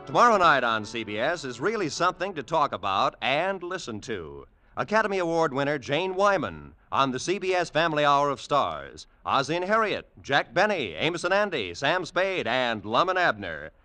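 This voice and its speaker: Bright, high-pitched American radio announcer man. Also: very animated, normal speed, few pauses. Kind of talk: 1940s radio announcing, scripted. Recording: old radio broadcast, music behind.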